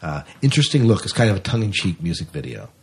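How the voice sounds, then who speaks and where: A man's voice: sits low, very animated, fast. An American man co-hosting a podcast, chatting casually through science fiction news. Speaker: podcast co-host. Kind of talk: casual sci-fi news chat.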